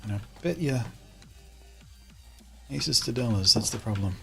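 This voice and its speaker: A man's voice: medium pitch, animated, a little slow, creaky, fairly bright tone, soft background music. A man with an Australian accent streaming a game from home, chatting casually and answering viewers' comments as he plays. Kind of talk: casual live-stream chat while playing.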